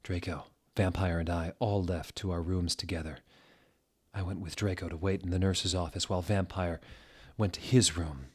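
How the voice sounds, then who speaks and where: Male narrator, lowish voice, mock-dramatic and deadpan delivery of scripted prose. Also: moderate pace, breathy edge. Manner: deadpan, reads typos and punctuation aloud. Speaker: host reading fan fiction aloud.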